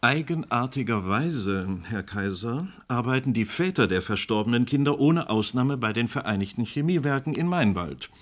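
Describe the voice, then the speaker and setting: Man acting as talk show host in a radio play, normal pitch, polite but keeps pushing, sceptical. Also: lively intonation, moderate pace, hardly any pauses, lightly acted. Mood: sceptical, insistent. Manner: polite but persistent questioning. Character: probing, courteous male talk show moderator, sceptical. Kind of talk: German radio play staged as a broadcast panel.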